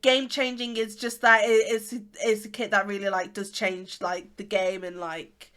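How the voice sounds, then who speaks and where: Congested English woman in her twenties, bright, chatty host, rambles with fillers. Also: medium pitch, flat delivery, normal speed, clean tone. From England. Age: 20s. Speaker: YouTuber. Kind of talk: casual chat.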